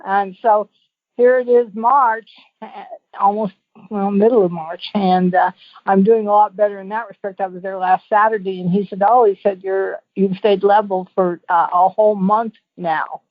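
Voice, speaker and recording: A woman's voice: medium pitch, steady tone, moderate pace, dry recording. A woman calling in as a guest, giving a casual, rambling, folksy personal testimonial over the telephone. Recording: tinny narrow phone line.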